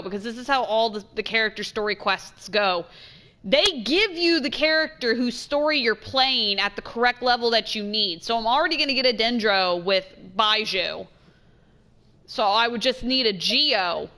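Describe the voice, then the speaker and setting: A woman's voice: a little high, pushed and bright. A woman giving casual let's play commentary, thinking aloud in informal, slangy speech.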